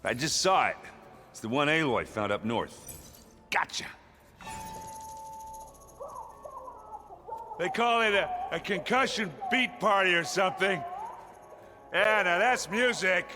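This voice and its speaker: A man's voice: high-pitched, very animated, a little slow. A man playing a burly, good-natured warrior in a game cutscene, with an informal, jokey way of talking. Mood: excited. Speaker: game character actor. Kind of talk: animated game cutscene acting. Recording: reverberant hall, music behind.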